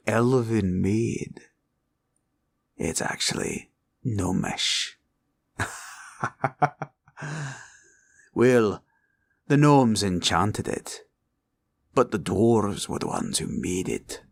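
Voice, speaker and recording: A man voicing a sly, greedy green dragon villain in a fantasy roleplay, with a husky growl and taunting, drawling delivery. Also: on the low side. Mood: smug, gloating. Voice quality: gravelly, husky, breathy growl. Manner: slow, drawling, taunting, archaic turns of phrase.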